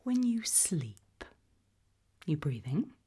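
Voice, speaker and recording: A British woman whispering an ASMR roleplay as a creepy, probing examiner, asking questions slowly and deliberately with quiet playfulness. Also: deep voice, very animated, breathy edge. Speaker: ASMR roleplayer playing an interviewer.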